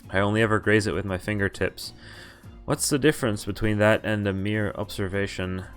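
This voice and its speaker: A man's voice: lowish voice, fairly even intonation, fairly quick. Man reading a visual novel aloud. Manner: reads plainly, stumbles and corrects himself. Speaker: streamer. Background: game music.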